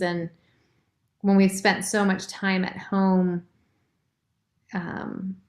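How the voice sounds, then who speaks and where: A woman guest in a casual conversation. She thinks aloud in a reflective way and uses many fillers. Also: medium pitch. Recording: roomy, echoey sound.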